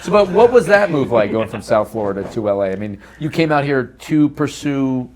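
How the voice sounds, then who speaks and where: A man's voice: mid-range voice, very animated, moderate pace, heavy background noise. A man hosting a casual panel chat in a jokey, conversational way, acting out bits as he goes. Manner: jokey, acts out quoted lines. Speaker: talk show host.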